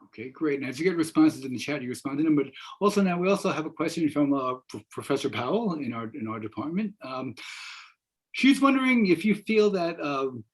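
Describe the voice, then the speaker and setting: A man's voice: a little high, lively intonation, a little fast, slightly rough. A middle-aged man on a video call from his home, moderating a student discussion as professor, rambling with many fillers and restarts. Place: home room with wooden cabinets. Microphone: laptop webcam on a video call.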